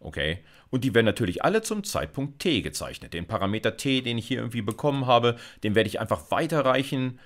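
A man's voice: mid-range voice, very animated, quick pace, few pauses. A man, explaining like a university lecturer in an informal, conversational way, often checking in with short tags. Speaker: university computer science lecturer.

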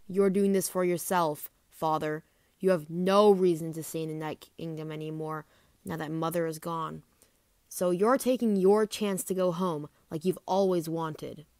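A woman's voice: fairly low pitch, steady tone, unhurried, darkish tone, dry recording, clean studio sound. Woman doing a stern, cold young male dragon. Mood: cold, accusing. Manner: stern, accusing.

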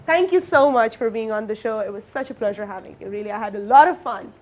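A woman's voice: on the high side, darkish tone. A young Pakistani woman whose first language is Pashto, hosting a morning TV talk show with a bubbly manner and frequent laughter. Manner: bubbly, laughs often, teaches words slowly. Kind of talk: casual TV interview. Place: TV studio set.

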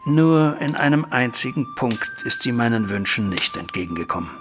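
An actor playing a troubled middle-aged banker, a widowed father, recounting events formally and deliberately in a German audio drama. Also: medium pitch, animated, close to an everyday voice. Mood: melancholy. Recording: music bed behind voice.

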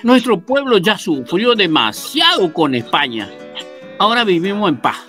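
Casting: a man as a high-voiced, lively old villager, slow and rousing, radio play. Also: faint music behind, full character voice. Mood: proud. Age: older. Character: proud old Paraguayan villager toasting. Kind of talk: radio drama acting over video call.

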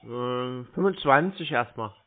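A man's voice: mid-range voice, lively intonation, a little slow. Needling man on a phone line. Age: adult. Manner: needling, mock-naive questioning. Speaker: prank caller to scam call centres. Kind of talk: casual phone chat. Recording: band-limited phone call audio.

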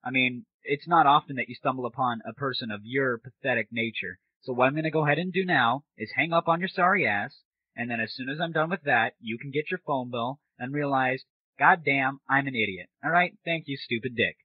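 A man's voice: medium pitch, a little fast, husky, no room sound. A man hosting a comedy audio show, talking casually and crudely in a sarcastic, irreverent manner. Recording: muffled, noisy lo-fi audio.

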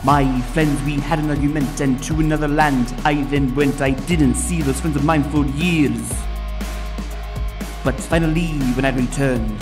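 A man voicing a solemn, wistful female zebra who speaks in measured, formal rhyme for a comic dub. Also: mid-range voice, steady tone, music in the background, lightly acted. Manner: measured, formal, rhyming speech. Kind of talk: comic dub character acting.

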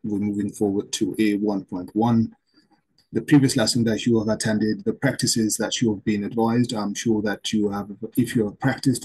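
A middle-aged man from Pakistan with a Pakistani accent, a native Urdu speaker, gives an online English lesson from a home room, explaining slowly and repeating himself. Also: on the low side, warm tone, husky, low-quality recording. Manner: slow, repetitive explaining with many pauses. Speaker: English teacher. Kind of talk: online lecture to camera. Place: home room with plants and shelves.

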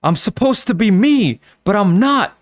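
A man voicing a frightened young girl trapped in a monster mask, with a raspy, shrill, desperate voice. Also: moderate pace, darkish tone, lightly acted. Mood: bitter.

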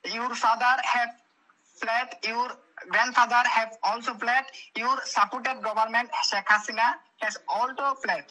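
Hesitant caller with a Bangladeshi accent, bright voice. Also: female voice, on the high side, steady tone, unhurried, low-quality recording. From Bangladesh (Chattogram). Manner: hesitant, many fillers and restarts, broken English. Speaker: phone caller, local witness. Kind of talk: halting phone interview, partly read from a script.

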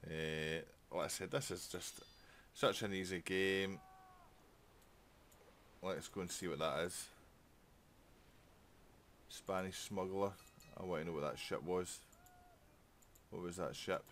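A man's voice: low-pitched, fairly even intonation, slow, pauses often, a bit bright, a little hiss or hum. A man giving casual let's play commentary, chatting and thinking aloud as he plays. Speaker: gaming channel host.

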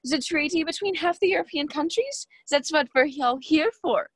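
Young woman playing a high-voiced, haughty society hostess. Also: animated, moderate pace, fairly bright tone, no echo. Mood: condescending. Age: young adult. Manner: condescending, taunting. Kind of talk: radio play acting.

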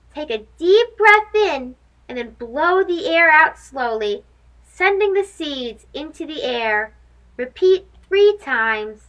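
Woman, young adult, children's storytime host, extremely high voice, unhurried, sing-song. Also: pushed and bright, poor audio. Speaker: host of a children's read-aloud show. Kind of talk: children's picture book read-aloud.

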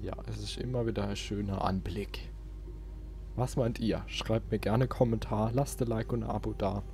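A man's voice: lowish voice, steady tone, moderate pace, dark tone, a little hiss or hum. A man chatting informally as he hosts a let's play, thinking aloud while he plays. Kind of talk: casual gaming commentary.

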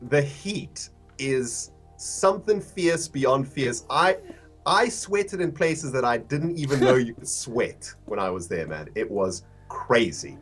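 A man's voice: mid-range voice, expressive, slow pace, breathy edge, soft background music. A man from South Africa with a mixed South African and English accent, chatting casually as a livestreamer in slangy, laid-back language.

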